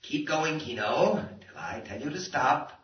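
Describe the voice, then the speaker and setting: Menacing criminal mastermind, swooping old-radio delivery. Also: male voice, mid-range voice, very animated, unhurried, slightly rough, full character voice. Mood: gloating menace. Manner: theatrical, commanding, sinister. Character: cold-blooded male criminal mastermind, menacing and mocking. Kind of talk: 1940s radio acting. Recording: noisy, muffled 1940s radio recording.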